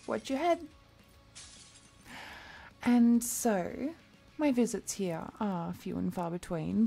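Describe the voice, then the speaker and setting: A woman's voice: mid-range voice, creaky, dark tone. A woman playing an affectionate, playful demon guide through hell in a fantasy audio roleplay, chatty, coaxing and protective toward the listener. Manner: coaxing, chatty, protective, with pet names and small hesitations.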